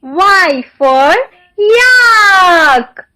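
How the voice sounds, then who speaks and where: A woman narrating a children's alphabet lesson in a deliberate sing-song manner, enunciating each word. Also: extremely high pitch, expressive, bright, forceful tone.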